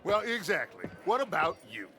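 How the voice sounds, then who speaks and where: Hard-edged Old West gang man, American, bright forceful voice, very animated pitch, game cutscene. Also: normal speed, faint music behind, heavily acted. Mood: commanding. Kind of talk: video game cutscene acting.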